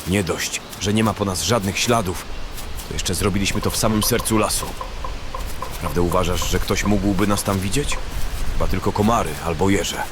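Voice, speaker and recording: A man's voice: low-pitched, normal speed, close to an everyday voice. A man playing a brash, callous and coarse bandit in a fantasy audio drama. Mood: irritated. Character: brash, callous, coarse male bandit who loves food. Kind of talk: fantasy radio drama acting. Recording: clearly noisy background ambience.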